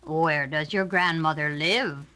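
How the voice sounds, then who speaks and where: A woman's voice: low-pitched, bright, forceful tone, voice pushed down, exaggerated voice. A woman voicing a sly male storybook wolf, sweet-talking and predatory, drawing out the words in a coaxing way. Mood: smooth, sly. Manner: drawn-out, coaxing delivery.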